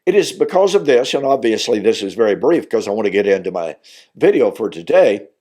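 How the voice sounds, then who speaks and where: A man's voice: lowish voice, sing-song, brisk, mellow. Older man with a strained voice. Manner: earnest, building to emphatic insistence on key points. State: weakened voice recovering from voice loss. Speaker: minister and video teacher. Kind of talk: Bible teaching monologue.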